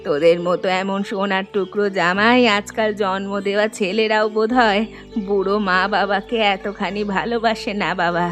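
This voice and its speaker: A woman acts out an elderly Bengali village mother from a cartoon folk tale. She is tender and sentimental, doting on her family in a medium-pitched voice. Gentle music plays in the background. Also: steady tone, normal speed, natural voice. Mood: tearfully grateful. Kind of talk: animated folk story dialogue. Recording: music bed under the voice.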